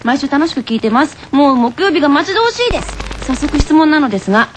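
A woman's voice: sits high, animated, fairly quick, noisy background. A woman co-hosting a radio show, chatting casually with playful banter. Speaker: radio show co-host and voice actor.

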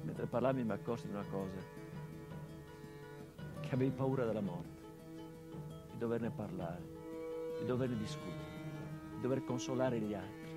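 An actor playing a grown son who speaks to his murdered father in a theatrical monologue, quiet and reflective, with flashes of bitter emphasis. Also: on the low side, normal speed, pauses often, over loud music, lightly acted. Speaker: stage actor in a radio play.

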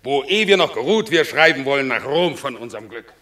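An actor playing a solemn, generous Roman general in a classical verse radio drama, declaiming ceremoniously. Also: male voice, medium pitch, expressive, brisk, sharp tone. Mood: proclaiming.

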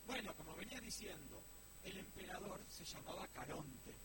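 A man's voice: high voice, animated, normal speed. A man playing an easily flustered storyteller with a Rioplatense Argentine accent, telling a made-up space tale to a girl in a comedy sketch. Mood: dramatic storytelling. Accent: Rioplatense Argentine Spanish. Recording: very noisy amateur recording.

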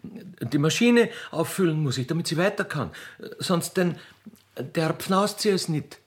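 A man voicing a naive, chatty railway keeper's daughter with an Austrian accent in a radio play, halting and repeating words eagerly. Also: on the high side, animated, slightly rough, pitched above the performer's own voice. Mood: shy, eager. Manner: halting, repetitive, rustic and eager. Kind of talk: radio play character acting.

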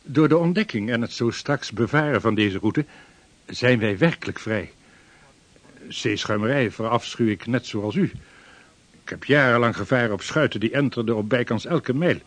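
Brief: male actor as a persuasive seafarer, husky and modest. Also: medium pitch, normal speed, natural voice. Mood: earnest. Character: earnest seasoned voyager and trader, adult man. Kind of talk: period radio drama acting.

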